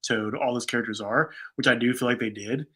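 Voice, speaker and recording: A man's voice: mid-range voice, steady tone, brisk, a bit bright, breathy edge. A man in his thirties co-hosting a casual movie discussion, talking emphatically like an opinionated fan. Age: 30s. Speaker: podcast co-host.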